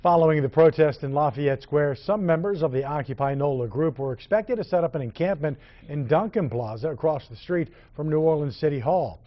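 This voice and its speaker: A man's voice: on the high side, brisk, few pauses. A man reading scripted television news as an anchor. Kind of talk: scripted newscast narration. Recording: slightly dull broadcast audio.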